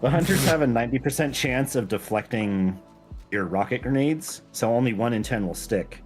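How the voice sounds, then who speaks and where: A man's voice: mid-range voice, expressive, normal speed, soft background music. A man talking casually and enthusiastically on a gaming stream, explaining game details in a rambling way. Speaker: game mod creator guesting on a stream.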